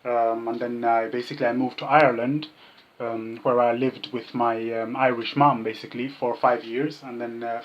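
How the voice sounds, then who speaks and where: A man from Kenya talking casually as an interview guest, repeating himself and jokingly mimicking the people he argues with. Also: mid-range voice. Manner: jokey, repetitive, mimics opponents' remarks. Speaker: vegan activist, interview guest. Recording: dull, muffled lo-fi sound.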